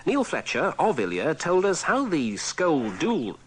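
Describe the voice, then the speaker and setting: A man's voice: a little high, huge pitch swings, unhurried. A man reading scripted radio news. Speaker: newsreader. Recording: archive radio with faint hiss.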